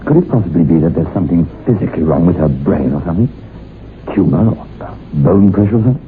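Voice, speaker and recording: Deep-voiced, worried, hesitant husband. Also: male voice, expressive, fast. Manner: hesitant, subdued. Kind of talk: radio drama acting. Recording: tinny, hissy old radio drama recording.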